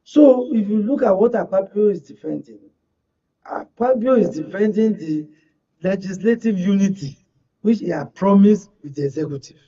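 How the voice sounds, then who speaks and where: A Nigerian man with a high-pitched voice that is soft-edged and husky offers political commentary. He phrases things formally and at length, with proverbial flourishes. The sound is dull and a little distant from the microphone. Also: lively intonation, moderate pace. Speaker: panel guest, media analyst. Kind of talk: political panel discussion.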